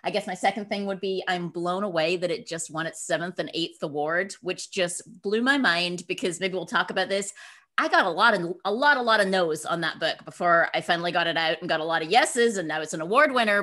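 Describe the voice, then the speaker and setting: A woman's voice: on the low side, a little fast, a bit bright. A woman from Scotland speaking as a guest author in an interview, telling personal stories in an upbeat, effusive, emphatic way. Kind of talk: interview answers, personal storytelling.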